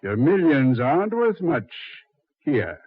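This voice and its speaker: An actor playing a suave, mocking Devil in a measured, mock-polite 1940s radio horror style, on a muffled old recording. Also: male voice, a little high, very animated, no echo, exaggerated voice. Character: the Devil, suave, mocking, coldly taunting male master of hell.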